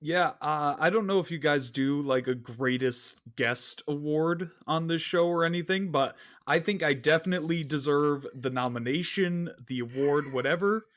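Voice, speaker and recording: Man, somewhat high pitch, podcast guest, casual storytelling. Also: moderate pace, hardly any pauses, fairly bright tone. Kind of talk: casual chat.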